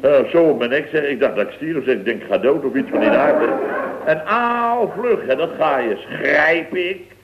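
A man's voice: a little high, lively intonation, normal speed, slight background noise, natural voice. An actor playing a grumbling, put-upon older man who rambles through his anecdotes indignantly in a comic radio play, acting out what others said. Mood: worked up. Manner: indignant, rambling, acts out reported dialogue. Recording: narrow-band old radio recording.

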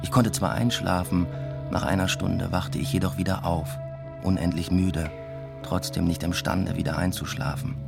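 An actor playing a weary, introspective academic who tells his own story in measured radio drama narration. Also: low-pitched, steady tone, vocal fry, music in the background. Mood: reflective, weary. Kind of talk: scripted first-person radio drama narration.

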